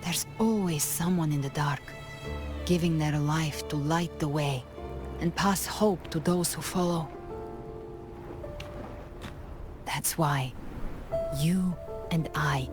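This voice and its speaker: A woman voicing a calm, resolute hunter in a video game, speaking solemnly and consolingly in measured phrases. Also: low-pitched, music in the background. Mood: quiet resolve. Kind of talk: scripted video game dialogue.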